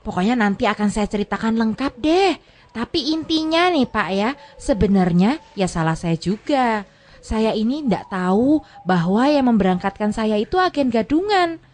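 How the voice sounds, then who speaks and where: An actress playing a plain-spoken, wronged but feisty returned migrant worker, telling things in an emphatic, folksy way in a drama. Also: female voice, on the high side, animated, moderate pace, darkish tone, close to an everyday voice. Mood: folksy, frank. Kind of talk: scripted drama dialogue.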